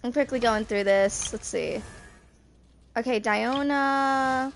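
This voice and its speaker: Female game streamer, twenties, high voice, casual rambling chat, slight background noise. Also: takes it slowly, creaky. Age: 20s. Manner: rambling, thinking aloud. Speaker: streamer playing a video game.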